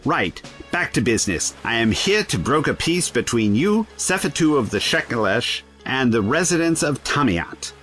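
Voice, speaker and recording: An actor playing an eccentric, bookish time-traveller in an audio drama, witty and defiant, with a wry, articulate way of talking. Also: male voice, medium pitch, sing-song, normal speed, a bit bright, faint music behind. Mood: earnest warning.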